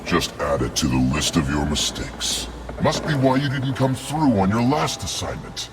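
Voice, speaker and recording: An actor playing a domineering, coldly theatrical villain leader in a video game, taunting and menacing in a deliberate way. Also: male voice, deep voice, sing-song, background noise. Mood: scornful. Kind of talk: dramatic video game acting. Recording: loud music behind.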